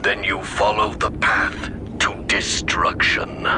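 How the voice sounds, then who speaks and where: An actor playing a proud alien military commander in a video game, measured, imperious and persuasive. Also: male voice, on the low side, level tone. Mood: stern, imperious. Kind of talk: dramatic video game voice acting. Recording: voice amid clear battlefield noise.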